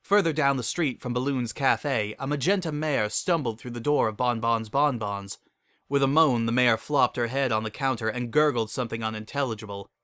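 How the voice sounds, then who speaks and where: A man's voice: mid-range voice, moderate pace, fairly bright tone, clear, resonant tone, no echo, clean studio sound. A man narrating a story as a scripted dramatic reading.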